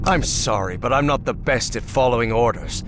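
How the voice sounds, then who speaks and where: A man's voice: medium pitch, normal speed, natural voice. A man playing a skeptical, concerned professor in a dramatic video game, hesitant and dryly sarcastic. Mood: wary, wry. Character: skeptical, flustered male professor, wry and concerned. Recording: music under the voice. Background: background music.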